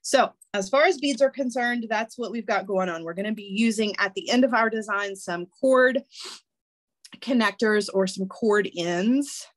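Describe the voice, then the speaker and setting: A woman's voice: medium pitch, normal speed. Congested woman teaching a craft class. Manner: friendly and chatty, with frequent ums and restarts. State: congested, voice a little weak. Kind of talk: casual step-by-step instruction.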